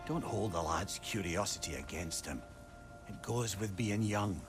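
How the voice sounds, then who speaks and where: An actor playing a wise, candid older companion in a video game, measured and gently admonishing. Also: male voice, low-pitched, music in the background. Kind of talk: video game character acting.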